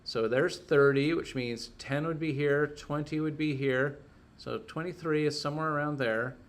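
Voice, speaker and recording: A man's voice: a little high, steady tone, normal speed. A man teaching a casual hands-on technical lesson, informally thinking aloud as he works through each step.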